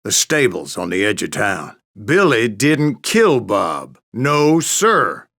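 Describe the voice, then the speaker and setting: A man's voice: lowish voice, huge pitch swings, slow pace, creaky, a bit bright, full character voice. An actor playing an old American bounty hunter with a Western drawl, narrating his exploits in a western video game with wry, boastful folksiness. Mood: defiant boasting. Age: older. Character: grizzled old bounty hunter gunslinger telling tall tales of the Wild West.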